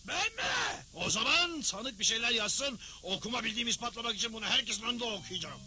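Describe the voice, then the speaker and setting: A man's voice: very high voice, lively intonation, quick pace, pushed and bright, a little hiss or hum, exaggerated voice. A man playing a pompous, greedy old frontier judge in a dubbed cartoon, declaiming officiously. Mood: officious, brazen. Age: older.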